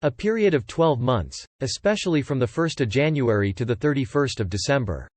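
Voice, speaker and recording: A man's voice: medium pitch, animated, brisk, a little mellow, no echo. A man teaching English, carefully reading definitions and example sentences aloud. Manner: clear, careful model reading.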